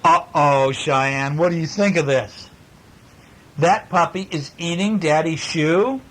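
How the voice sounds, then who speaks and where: Coaxing dad on a noisy home recording. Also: male voice, fairly high pitch, takes it slowly. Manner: coaxing, praising and prompting with questions. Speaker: father reading to his young children. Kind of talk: casual picture-book chat with small children, asking questions.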